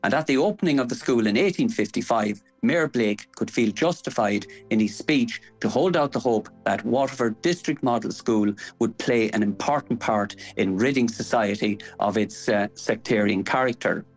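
Older man, Irish accent, medium pitch, scholarly local history talk, digressive, detail-minded. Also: moderate pace, hardly any pauses, faint music behind, rough recording. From Ireland.

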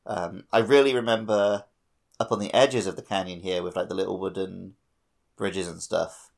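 Casual male co-host, lowish voice with heavy vocal fry, quippy delivery. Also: steady tone, normal speed. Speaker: podcast co-host. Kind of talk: casual chat.

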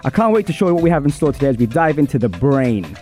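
A man hosting a radio sports show, his voice hoarse, with an upbeat, hyped-up presenting manner. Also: mid-range voice, sing-song, rapid-fire, dark tone, faint music behind.

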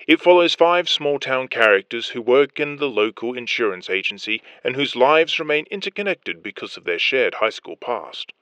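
Male announcer doing a promo read, really animated pitch, dry close sound. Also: normal speed, hardly any pauses, smooth, dry recording, dull audio.

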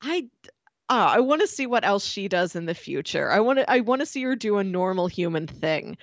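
A woman's voice: medium pitch, very animated, a little fast, no room sound, lo-fi sound. A woman podcast co-host chatting casually and informally about TV, with a giggly manner. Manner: informal, giggly, peppers talk with "like". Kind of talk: casual chat about a TV show.

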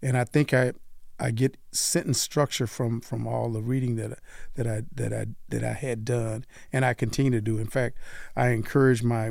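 An older man hosting a veterans' community radio show, chatting in a relaxed, easygoing way and laughing easily. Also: medium pitch, vocal fry, dry recording, studio quality. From Las Vegas. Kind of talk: casual radio interview.

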